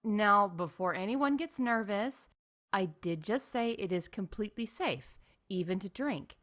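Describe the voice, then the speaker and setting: A woman playing an upbeat theme park announcer in space, reading scripted comedic announcements in a deadpan corporate manner with emphatic asides. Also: medium pitch, unhurried. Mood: chipper, informative.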